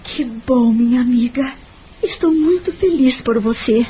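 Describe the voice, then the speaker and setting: An actress with a Brazilian accent playing a gentle, reflective woman friend in an audio drama, speaking earnestly and explaining. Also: on the high side, unhurried, lightly acted. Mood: happy, moved. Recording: music behind the voice.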